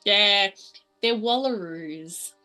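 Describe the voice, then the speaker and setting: A woman talks casually as a player in a tabletop game. Her voice is bright and sits at a middle pitch. Faint music runs underneath. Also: drawn-out words.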